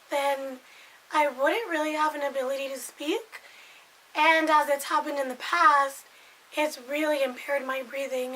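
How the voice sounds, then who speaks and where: Woman, 20s, hoarse raspy voice pitched high, loose rambling vlog delivery. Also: fairly bright tone, a little hiss or hum, rough recording. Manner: rambling, loses her thread and repeats herself. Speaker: vlogger. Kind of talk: casual chat to camera.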